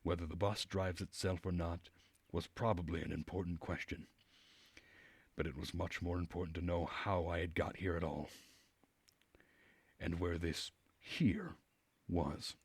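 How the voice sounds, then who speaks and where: A man streaming a visual novel, reading the narration aloud in a measured way with dramatic pauses. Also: deep voice, breathy edge, no room sound.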